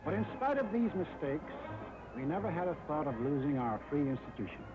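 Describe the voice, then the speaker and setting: American guy doing 1940s war film narration, highish voice, very dramatic, muffled old soundtrack w music behind. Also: big pitch swings, fairly quick. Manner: dramatic, emphatic, rhetorical delivery. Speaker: documentary narrator.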